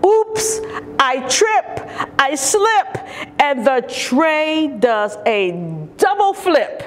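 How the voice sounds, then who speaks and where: Very expressive, unhurried woman reading a storybook. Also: sits high. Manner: expressive, deliberate, with drawn-out emphasis on key words. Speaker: storytime reader for young children. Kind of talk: children's picture-book read-aloud. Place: large carpeted indoor lobby or lounge.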